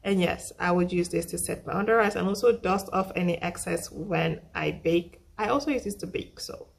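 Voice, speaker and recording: Young woman, husky voice, flu-roughened, lowish pitch, chatty makeup tutorial, repeats herself. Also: normal speed. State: recovering from flu. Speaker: makeup vlogger. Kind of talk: casual makeup tutorial talk.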